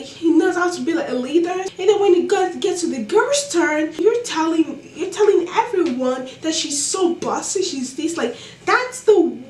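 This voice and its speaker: A young woman with a non-American accent telling college stories casually, animated and exasperated, with many fillers. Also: very high voice, roomy sound, recorded from a distance. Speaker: college student vlogger. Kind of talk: casual storytelling to camera.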